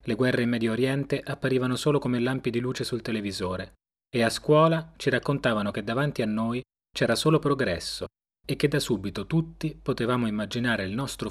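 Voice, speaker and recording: A man's voice: on the low side, breathy edge. A man in his forties giving first-person, reflective scripted narration for a radio documentary. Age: 40s.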